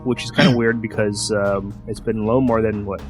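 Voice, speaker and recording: Podcast host, man, slightly stuffy, halting speech, faint music under. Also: on the low side, fairly even intonation. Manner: halting, with many "you know"s. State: slightly sniffly. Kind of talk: casual chat.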